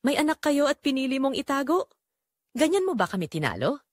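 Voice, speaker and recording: A woman voicing a furious, wounded young woman in an audio drama, with a sharp, emphatic delivery. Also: sits high, sing-song, brisk, no echo, clean studio sound, pitched above the performer's own voice. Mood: accusing. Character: furious, wounded young woman confronting her father's mistress.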